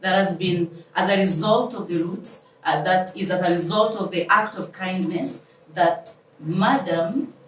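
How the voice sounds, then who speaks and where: A woman's voice: on the low side, a little slow, few pauses. A woman hosting an interview in a formal, courteous manner. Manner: formal, courteous, speaks in proverbs. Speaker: show host interviewer. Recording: roomy, distant mic, faint noise.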